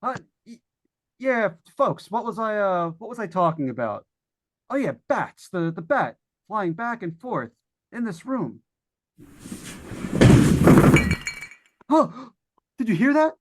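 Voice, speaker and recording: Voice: mid-range voice, big pitch swings, a little slow. Jittery vintage-radio announcer in a haunted house, acted: folksy, stammering, coming apart, widely varied intonation. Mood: dazed, unraveling. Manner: folksy, stammering, addressing listeners at home. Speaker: radio drama actor. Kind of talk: old-time radio suspense acting.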